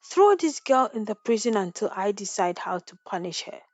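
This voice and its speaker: A woman's voice: mid-range voice, sing-song, moderate pace, no room sound. Woman acting a furious, imperious village chief. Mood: threatening, scornful. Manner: thundering, scornful, imperious. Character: furious, proud village chief, commanding adult man. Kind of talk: animated folktale storytelling.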